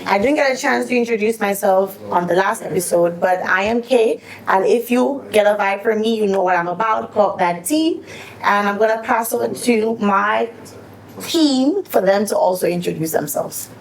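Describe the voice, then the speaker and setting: A woman's voice: medium pitch, expressive, normal speed, gravelly, low-quality recording. Frank, slangy woman podcast co-host. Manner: frank, slangy, thinks aloud. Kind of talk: casual chat.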